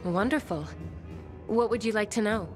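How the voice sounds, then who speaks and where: A woman's voice: mid-range voice, moderate pace, darkish tone, faint music behind, natural voice. An actress playing a bookish, romantic and courteous woman survivor in a video game, speaking formally and thoughtfully. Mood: warm, curious. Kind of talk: video game cutscene dialogue.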